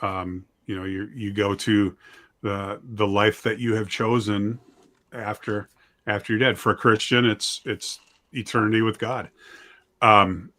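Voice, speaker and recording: A man with a fairly low voice speaks as a guest in a casual interview. He is plain-spoken and self-deprecating, and he leans into his convictions. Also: steady tone, unhurried, bright, forceful tone. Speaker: guest, audio drama writer and director.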